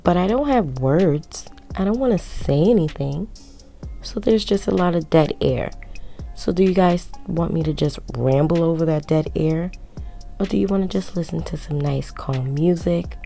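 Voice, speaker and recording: Low, raspy young woman narrating sewing. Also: normal speed, mellow, faint music behind. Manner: rambling, self-mocking. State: hoarse from projecting through a mask. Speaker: sewing tutorial creator. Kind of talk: casual voiceover tutorial.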